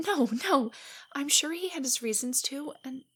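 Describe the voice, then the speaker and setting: A woman playing a nervous, nerdy young girlfriend in an intimate roleplay audio drama, soft and whispery, with hesitant, stammering speech. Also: high voice, animated, moderate pace. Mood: insecure, subdued. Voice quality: soft, breathy, often whispery. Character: shy, nervous, nerdy young girlfriend, self-doubting and affectionate.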